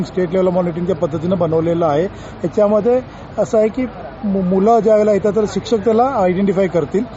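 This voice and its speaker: A man's voice: high voice, dark tone. A man speaking as an official in an interview, explaining informally and mixing in many English terms. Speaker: senior education official being interviewed. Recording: dull interview audio, faint background noise.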